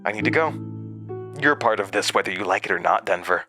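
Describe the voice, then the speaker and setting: An actor in an audio drama playing a mysterious, volatile male entity living inside someone's head, speaking in a halting, cryptic way. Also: lowish voice, expressive, natural voice. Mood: ominous. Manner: halting, cryptic, swinging from muttering to outbursts. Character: fragmented mysterious male entity implanted in another's mind, volatile. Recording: music behind.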